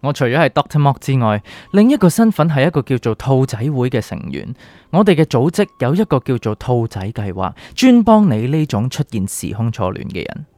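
An actor playing a calm, enigmatic doctor in a radio drama, speaking Hong Kong Cantonese in a measured, probing way. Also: male voice, medium pitch, no room sound. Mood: cryptic, grave. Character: calm, cryptic male doctor with a secret identity. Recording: music underneath.

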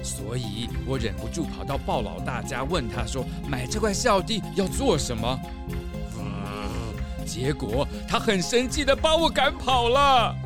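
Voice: mid-range voice, very animated, unhurried, over music. A performer playing an indignant, sentimental small-town resident.

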